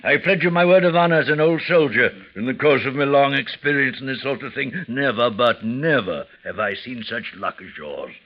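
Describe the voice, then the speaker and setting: An actor playing a fawning, effusive old soldier at a gambling table in a 1940s radio drama, oily and flattering. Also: male voice, medium pitch, brisk, few pauses, lightly acted. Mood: oily flattery. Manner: oily, flattering, theatrical, chuckling. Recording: muffled vintage 1940s radio recording.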